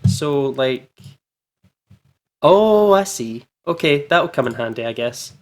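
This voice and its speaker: A man hosting a gaming channel, chatting casually and excitably, with an informal, giggly manner. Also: mid-range voice, slow. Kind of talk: casual let's play chat. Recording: music behind the voice. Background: background music.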